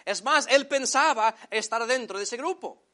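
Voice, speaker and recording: A man whose first language is English preaching a sermon in Spanish, addressing the congregation as brothers and slowing down to stress key phrases. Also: very high-pitched, pushed and bright. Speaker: pastor.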